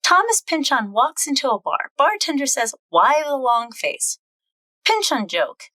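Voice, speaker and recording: Wry young heroine of a comic, played by a woman, higher-pitched, animated, confiding and self-deprecating. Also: unhurried, no echo, heavily acted. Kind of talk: dramatic reading of comic excerpts.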